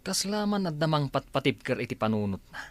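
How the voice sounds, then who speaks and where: A man playing a gruff accomplice in a scheming plot in an audio drama. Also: a little high, very animated, a little fast, no echo. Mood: suspicious.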